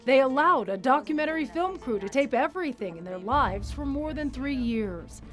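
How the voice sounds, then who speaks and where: A woman TV news reporter giving scripted news narration with a polished, measured delivery. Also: sits high, lively intonation, faint music behind.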